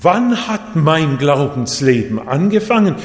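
A man from Japan preaching a sermon in a measured way, his voice rising and falling for emphasis. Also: on the high side, big pitch swings. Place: church hall. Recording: echoey hall, voice set back.

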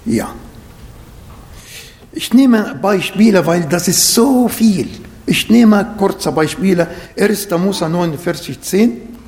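Male preacher, high voice, german, emphatic scripture reading, grammar slips. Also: lively intonation, takes it slowly, a little mellow, in a large hall. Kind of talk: sermon.

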